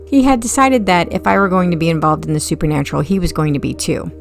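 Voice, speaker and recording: A woman's voice: on the low side, fast talker, creaky, faint music behind. A woman reading first-person fiction as an audiobook narrator, in a wry, conversational way.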